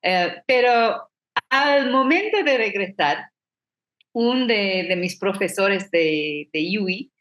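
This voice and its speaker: Slow, unhurried Trinidadian woman with roomy echo. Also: mid-range voice, expressive, a bit bright. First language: English. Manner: halting, frequent restarts and fillers, occasional light laughs. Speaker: professional interpreter, guest. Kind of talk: personal storytelling talk.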